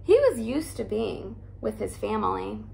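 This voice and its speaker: Teacher lady reading a picture book by the fire, slow and kinda gravelly, soft cozy voice. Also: mid-range voice, sing-song, a little hiss or hum. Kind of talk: picture-book read-aloud. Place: living room by a fireplace.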